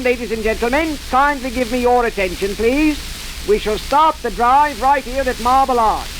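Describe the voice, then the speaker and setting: An actor playing a polite, wry sightseeing guide with formal patter in an old radio comedy. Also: male voice, very high voice. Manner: formal, deferential tour patter. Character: cheerful, patient British sightseeing bus guide, polite and wry. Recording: hissy, crackly vintage radio recording.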